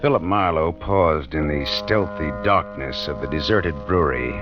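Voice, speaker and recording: A man narrating scripted 1940s radio suspense in a dramatic, measured manner. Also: very deep voice. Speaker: narrator of a mystery teaser. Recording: muffled old radio recording. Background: loud brassy music.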